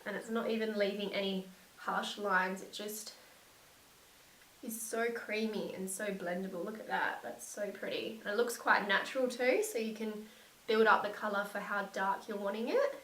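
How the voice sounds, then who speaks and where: A young woman with a slightly croaky voice from a cold, narrating a makeup tutorial and gushing softly about the products. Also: mid-range voice, normal speed, distant mic, a little hiss or hum. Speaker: beauty vlogger. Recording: echoey room, set back from mic.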